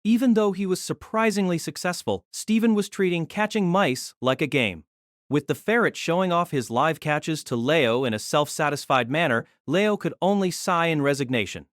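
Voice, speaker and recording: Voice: mid-range voice, moderate pace, no room sound, clean studio sound. A narrator reading scripted light-novel story prose for an audio drama.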